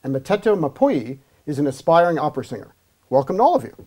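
A man's voice: on the high side, sing-song, quick pace, dark tone. A middle-aged man hosting a studio interview show, inviting his guests to speak. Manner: friendly, inviting questions. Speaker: host.

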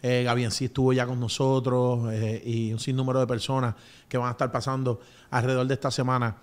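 A middle-aged man with a Puerto Rican accent interviews as a show host, in halting phrases, close to a studio microphone. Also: medium pitch, flat delivery. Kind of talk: conversational interview. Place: small indoor studio. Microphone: close studio microphone on a boom arm.

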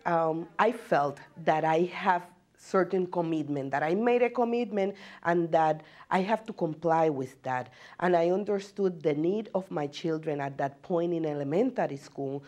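A woman in her forties with a Puerto Rican accent, a native Spanish speaker and teacher, talking earnestly about herself in a measured way. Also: fairly low pitch, lively intonation, darkish tone. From Puerto Rico. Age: 40s. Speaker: bilingual special education teacher. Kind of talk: personal interview testimony.

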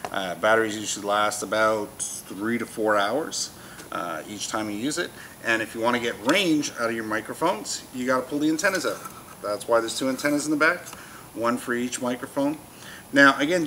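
A man's voice: medium pitch, a bit bright. A man in his fifties giving a casual, folksy, plain-spoken product walkthrough as a shop demonstrator, in an indoor showroom. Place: store showroom with shelves, indoors. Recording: noisy showroom background hum.

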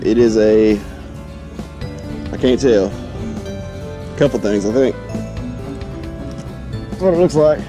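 A man's voice: fairly low pitch, slow, pauses often, music in the background. Folksy Southern US man, casual outdoor vlogging. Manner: folksy, short offhand remarks. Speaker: video host, hobby magnet fisher. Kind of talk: casual outdoor vlog commentary. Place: outdoors on a bridge over a river. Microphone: body-worn action camera.

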